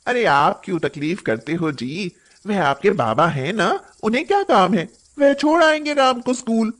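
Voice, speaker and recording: A woman's voice: medium pitch, lively intonation, normal speed, close to an everyday voice. A woman playing a bossy, cruel young daughter-in-law in a moral story cartoon, with a nagging, sarcastic, haughty manner. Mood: scornful.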